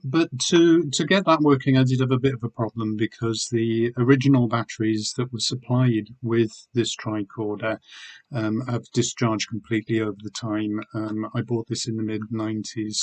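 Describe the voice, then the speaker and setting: A middle-aged man from the United Kingdom, slightly hoarse, talking casually and rambling with many ums as he shows his eBay items to the camera. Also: lowish voice, darkish tone, rough recording. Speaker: eBay seller reviewing weekly sales. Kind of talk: casual video chat showing items.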